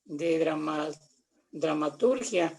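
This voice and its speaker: A man's voice: on the high side, slow pace, creaky, darkish tone, husky. An older man with a Mexican accent chatting casually in a rambling, repetitive way, telling anecdotes on a video call. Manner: rambling, repeats phrases. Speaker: guest, theatre artist.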